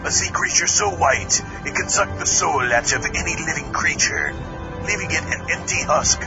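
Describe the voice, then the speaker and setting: Guy doing a cranky boozy captain, theatrical, muffled and buried under loud music. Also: fairly high pitch, lively intonation, normal speed, lightly acted. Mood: ominous exasperation. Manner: exasperated, theatrical. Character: grumpy, boozy, melodramatic old-style sea captain. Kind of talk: comic audio drama acting.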